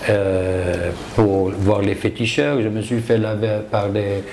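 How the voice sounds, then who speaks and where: A man's voice: fairly low pitch, steady tone, slow pace, vocal fry, darkish tone, slight background noise. A man from Côte d'Ivoire, telling his own story emphatically as an interview guest. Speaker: interview guest, self-taught property developer.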